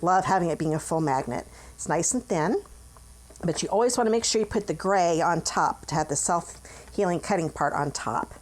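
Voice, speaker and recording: A woman's voice: lowish voice, expressive, normal speed. A middle-aged woman from the United States, hosting a chatty, upbeat craft tutorial from her home office and talking while she works. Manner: chatty, upbeat, talks while working with hands. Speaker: craft demonstrator and channel host. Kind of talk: friendly step-by-step craft tutorial.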